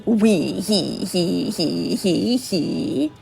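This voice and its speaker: A woman voicing a gleeful, evil cursed creature from a video game, taunting and gloating with drawn-out cackles. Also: fairly low pitch, animated, vocal fry, a little mellow, faint music behind, voice pushed down, heavily acted. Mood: cackling. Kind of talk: dramatic video game dialogue reading.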